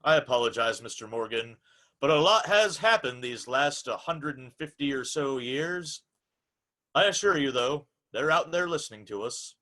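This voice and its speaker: A man's voice: mid-range voice, expressive, normal speed, sharp tone, poor audio. A man playing a formal, polite old-time radio hour host, announcing in a theatrical, scripted showman's style. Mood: polite, reassuring.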